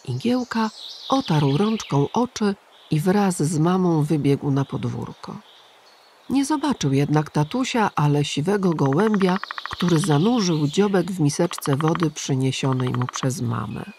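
A woman reading a children's story aloud as its narrator, softly and gently. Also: deep voice, animated, noisy background. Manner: slow, soft and gentle.

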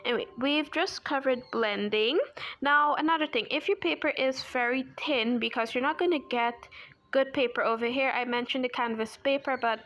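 A woman's voice: sits high, normal speed, a bit bright. A young woman from Guyana with a Guyanese accent, chatting casually and self-deprecatingly about art supplies while she draws at a desk. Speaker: art channel host. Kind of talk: casual chat while drawing. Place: indoors at a desk. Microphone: overhead camera on hands.

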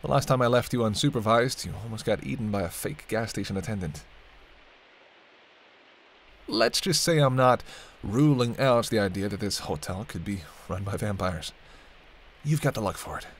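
A man's voice: fairly low pitch, lively intonation, moderate pace. A man playing a sardonic, teasing vampire in an audio roleplay, talking casually and wryly to the listener as a reluctant companion. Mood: teasing, watchful. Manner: wry, bantering, casual, muttered asides. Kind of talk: intimate audio roleplay acting addressed to the listener.

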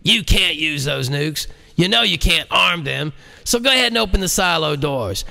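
A man's voice: a little high, animated, normal speed, creaky, bright, forceful tone, voice pushed up, heavily acted. A man voicing an irate, blustering male president in an audiobook, indignant and emphatic. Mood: defiant. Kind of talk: audiobook character acting.

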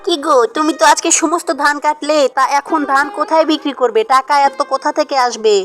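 Woman putting on a high, agreeable farmer's wife. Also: quick pace, fairly bright tone, soft background music. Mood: concerned. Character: agreeable, hardworking young farmer's wife, gently concerned. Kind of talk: amateur cartoon dialogue.

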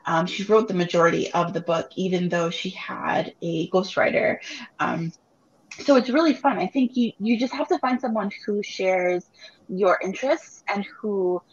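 A woman in her thirties whose first language is Spanish, an author answering students' questions in a reflective, warm way over a video call. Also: medium pitch, moderate pace, lo-fi sound. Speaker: author guest. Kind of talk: virtual book club Q&A. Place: home room, video call. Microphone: webcam or laptop mic on video call.

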